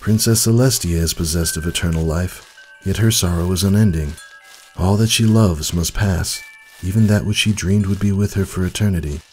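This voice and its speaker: A man playing an old male pony in a storybook tale, humble and devoted, speaking in an earnest, measured, dignified way. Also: low-pitched, a little mellow, faint music behind, pitched above the performer's own voice, close to an everyday voice, low-quality recording. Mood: sorrowful, resolute.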